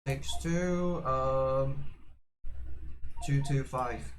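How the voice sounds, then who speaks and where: A man presenting a casual, unscripted how-to demonstration, haltingly, often repeating and restarting his phrases. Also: mid-range voice. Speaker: tutorial presenter. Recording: clearly noisy background ambience.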